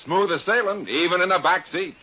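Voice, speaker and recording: A man's voice: high voice, fairly quick, slightly rough. A man with an American accent selling in the style of a 1950s radio advertisement. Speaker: commercial announcer. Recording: muffled old radio recording.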